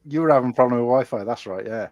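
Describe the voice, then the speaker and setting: A man's voice: mid-range voice, rapid-fire, a little mellow, dry recording, lo-fi sound. A Welsh man hosting a casual chat show, rambling and friendly, calling people mate. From Wales. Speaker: podcast host.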